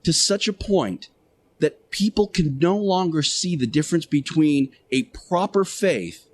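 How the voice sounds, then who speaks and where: A man hosting a radio show, preaching in a deliberate monologue with emphatic short phrases, pauses and repetition. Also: fairly high pitch, expressive, darkish tone. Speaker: radio show host playing Jesus in interactive radio theater.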